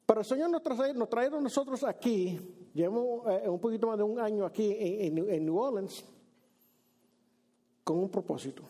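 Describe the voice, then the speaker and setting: Man, pastor, high husky fry-laden voice, chatty sermon delivery with restarts. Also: moderate pace, mellow. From Brooklyn, New York. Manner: conversational, many restarts and repeated words, mixes in English phrases. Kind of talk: sermon with Bible reading. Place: church sanctuary.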